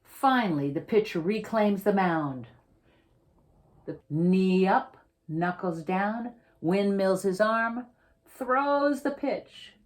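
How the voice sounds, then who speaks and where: A woman reading a children's picture book aloud as its author, with theatrical, emphatic delivery and drawn-out, suspenseful phrasing. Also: medium pitch, expressive. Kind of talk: dramatic read-aloud storytelling for children.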